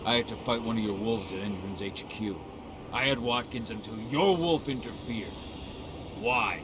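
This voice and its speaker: A man's voice: on the low side, moderate pace, background noise, close to an everyday voice. A man playing a brooding, determined werewolf warrior in a video game cutscene, speaking in a terse, stern way. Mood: accusing, angry.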